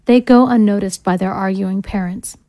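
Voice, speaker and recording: A woman's voice: mid-range voice, steady tone, normal speed, mellow, no echo, studio quality. A woman narrating scene directions from a script in a screenplay-style audio drama.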